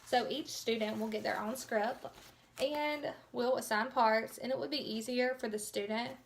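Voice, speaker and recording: A woman's voice: a little high, steady tone, moderate pace, creaky, slight background noise. A young woman giving an informal instructional presentation as a student teacher, explaining things a little hesitantly.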